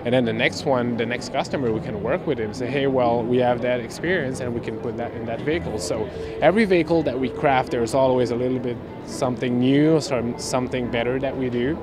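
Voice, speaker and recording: A man's voice: medium pitch, a little fast, music in the background. A man representing a manufacturer, explaining details in a casual interview with frequent restarts. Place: indoor trade show hall.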